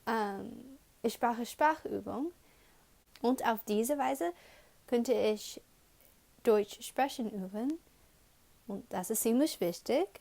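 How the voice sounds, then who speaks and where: A young woman with an English accent talks slowly and haltingly, stopping to fix her words as she goes. Her voice sits a little high. She hosts a study-tips video in a casual, unhurried way. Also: expressive, vocal fry, a little mellow. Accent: English-speaking learner of German. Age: young adult. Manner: slow and halting, with pauses and self-corrections. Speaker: student channel host.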